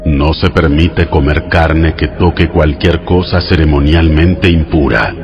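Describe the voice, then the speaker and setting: A man with a low voice reads scripture as an audio Bible narrator. His delivery is solemn, formal and instructive, laid out in measured phrases. Music plays clearly behind him throughout. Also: fairly even intonation, muffled recording. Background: background music.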